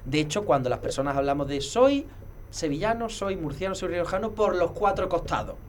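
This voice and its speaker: Rich: A man explains history to a host. His voice sits fairly high and he talks very fast, in a lecturing manner full of pauses and restarts. A faint hiss runs under the recording. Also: expressive. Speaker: heraldry expert guest. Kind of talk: casual explanatory talk.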